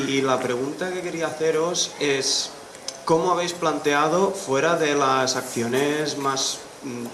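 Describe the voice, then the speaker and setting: A man from Barcelona with a Catalan accent, asking a hesitant question at a public assembly. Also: medium pitch. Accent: Catalan Spanish. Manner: hesitant, restarting and searching for words. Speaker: audience member asking a question. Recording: roomy, noisy outdoor assembly.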